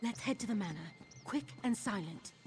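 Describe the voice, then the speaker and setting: A woman's voice: a little high, lively intonation, moderate pace, darkish tone, soft background music, lightly acted. A woman playing an eager, nervy young female thief in a video game, chatty and conspiratorial. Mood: focused, eager. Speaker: game character, thief companion.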